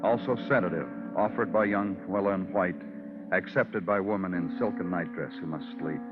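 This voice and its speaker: An actor playing a brooding, poetic police detective, narrating a vintage radio crime drama in a solemn North American voice. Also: male voice, sits low, moderate pace, natural voice. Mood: grim, weary. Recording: muffled vintage radio recording, loud music.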